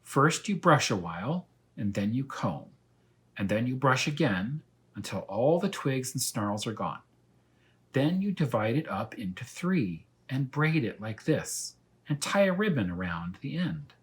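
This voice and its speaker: A man voicing a polite, quick-thinking little boy in a children's storybook, pleading and coaxing. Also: mid-range voice, big pitch swings, pitched above the performer's own voice. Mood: helpful, instructing.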